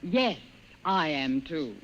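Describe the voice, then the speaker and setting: Muffled old radio play, a brush-off secretary, curt, formal, swoopy pitch. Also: female voice, lively intonation, slow pace. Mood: cool, curt. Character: cool, dismissive female office secretary. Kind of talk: 1950s radio drama acting. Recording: muffled vintage radio, faint noise.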